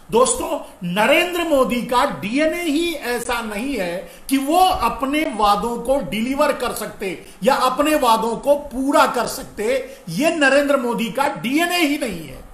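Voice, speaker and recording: A man's voice: extremely high pitch, a bit bright. A man giving opinionated political commentary to viewers, emphatic, repeating his phrases and asking rhetorical questions. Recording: echoey room.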